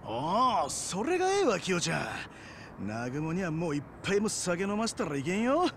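A friendly middle-aged small-town guy with a Hiroshima accent. His high voice swings widely and crackles with creak, in a folksy game drama style. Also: sing-song, moderate pace. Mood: friendly, animated. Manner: folksy, colloquial. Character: friendly, animated middle-aged local amateur ballplayer. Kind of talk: Japanese video game drama acting.

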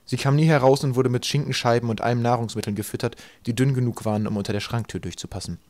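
A man reads a comic children's audiobook at a brisk clip. His mid-pitched voice delivers the absurdities deadpan, as dry scripted narration. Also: no echo.

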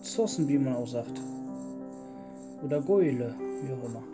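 A man's voice: mid-range voice, lively intonation, moderate pace. Jokey man chatting over a game, music behind. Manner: jokey, teasing. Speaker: let's player. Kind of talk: casual gameplay chat.

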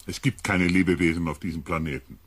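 An actor playing a skeptical, matter-of-fact expedition member in a sci-fi radio play. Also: male voice, sits low, steady tone, fast, fairly bright tone, husky, no room sound.

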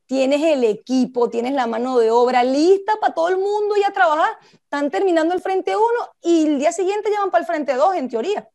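A woman's voice: very high voice, a little fast, mellow. A woman with a Venezuelan accent giving an informal lecture, talking conversationally and putting questions to her listeners. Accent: Venezuelan Spanish. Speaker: project management instructor and speaker.

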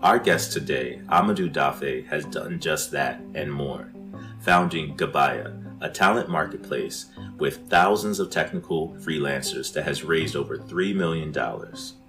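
Deep-voiced man reading an intro over guitar. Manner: measured, read from a script. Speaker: podcast host. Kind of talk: scripted intro narration.

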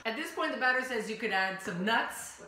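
A woman in her thirties hosting a casual home cooking demonstration, giving relaxed step-by-step instructions with a teasing edge. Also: fairly high pitch, normal speed, very noisy. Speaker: home cooking show host. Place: home kitchen, indoors. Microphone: far camera. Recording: echoey kitchen room.

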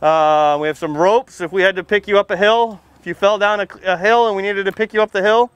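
A middle-aged American man speaks as a firefighter showing young children around, projecting a high, clean voice. His delivery is informal and folksy, full of simple questions. He is outdoors, and the sound is dry, with no echo. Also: fairly even intonation, brisk, a bit bright, clean tone. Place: outdoors, under a tent and beside a fire truck.

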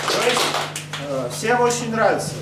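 Male compere at a live poetry night, animated and pushy, recorded in a roomy, noisy club. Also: sits high, over a noisy crowd. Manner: brisk, bossy, hurrying people along. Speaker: host of the poetry evening. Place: stage of a rock cafe. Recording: echoey club room, far mic, audible noise.

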